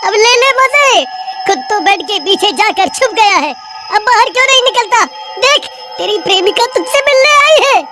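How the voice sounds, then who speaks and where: A man's voice: very high-pitched, expressive, normal speed, over loud music. A man voicing a bossy, practical cartoon friend who speaks in an urgent, scolding way. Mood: mocking annoyance. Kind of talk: cartoon voice acting.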